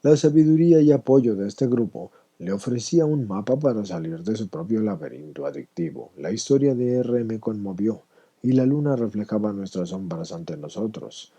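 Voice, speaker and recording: A man's voice: on the low side, expressive, normal speed, mellow, breathy edge. A man reading a written personal recovery story aloud as a narrator. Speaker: reader of a written testimony.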